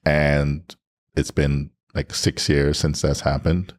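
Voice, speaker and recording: A man with a deep voice co-hosting a podcast, candidly retelling a story and acting out his own past lines. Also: level tone, slow. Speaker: podcast co-host. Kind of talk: candid storytelling in casual chat.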